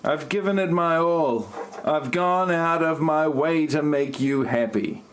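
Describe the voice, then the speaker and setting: A man's voice: fairly high pitch, unhurried, slight background noise, lightly acted. A man playing an aggrieved novelist and secret hacker with a stilted, robotic delivery in an amateur parody audio drama. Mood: earnest, stilted. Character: stiff, aggrieved male novelist and self-styled hacker.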